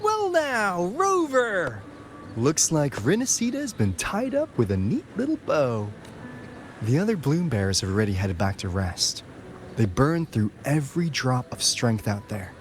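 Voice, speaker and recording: A woman's voice: low-pitched, wildly swooping pitch, unhurried, slight background noise, full character voice. An actress playing a breezy, dedicated female operative with a familiar manner in a video game. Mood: breezy, weary.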